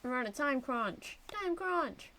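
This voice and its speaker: High-voiced, slow-paced woman streamer. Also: animated, bright, forceful tone, a little hiss or hum. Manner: playful, wry muttered asides. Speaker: game streamer. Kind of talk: casual live gameplay reaction chat.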